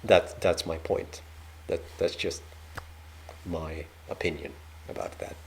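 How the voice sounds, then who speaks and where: A man's voice: low-pitched, steady tone, slow pace, vocal fry, a little hiss or hum. A man from Denmark with a Danish accent, hosting a casual reaction video and talking hesitantly with many ums and restarts.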